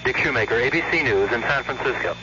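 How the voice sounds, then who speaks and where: A man's voice: mid-range voice, quick pace. A man with an American accent giving a scripted news report as a radio correspondent. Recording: dull noisy 1970s radio feed.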